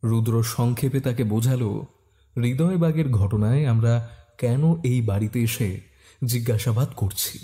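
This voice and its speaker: A man's voice: mid-range voice, measured pace, warm tone. A man giving scripted first-person narration of a detective story as its narrator.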